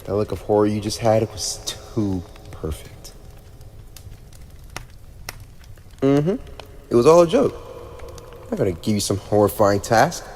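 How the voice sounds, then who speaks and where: A teenage demon prince of hell played by a man, smug and teasing with a playful menace, speaks in a lowish male voice. He addresses the listener in chatty yet mock-formal ASMR roleplay fashion. The sound rings with long reverb over clearly audible noise. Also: lively intonation, moderate pace, darkish tone. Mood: amused, playful. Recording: echoey reverberant room with clear background noise.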